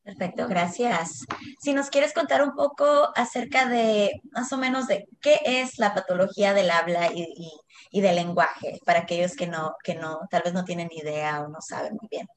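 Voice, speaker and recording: A woman hosting a podcast who welcomes and introduces a guest in measured, polite phrases. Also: fairly low pitch, slight background noise. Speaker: podcast host.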